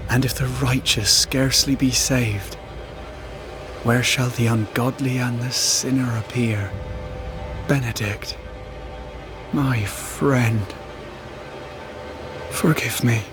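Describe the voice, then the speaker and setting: A man's voice: mid-range voice, steady tone, over music, close to an everyday voice. An actor playing a condemned, remorseful man in a video game cutscene, solemnly confessing and asking forgiveness. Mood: remorseful, resigned. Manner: slow, solemn, scripture-quoting.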